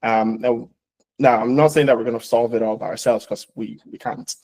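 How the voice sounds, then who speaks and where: A founder addresses his audience in an earnest, halting way, leaning on fillers as he goes. His voice sits at an ordinary middle pitch for a man. He sounds like he is speaking to a live event crowd and an online audience. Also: fairly even intonation, darkish tone. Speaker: founder and CEO, panel moderator. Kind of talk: launch speech and moderating.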